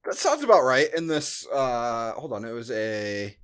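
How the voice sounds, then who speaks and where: A man's voice: medium pitch, big pitch swings, measured pace, fairly bright tone, clear, resonant tone. A man hosting a podcast, chatting casually as he reviews a movie. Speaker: podcast host.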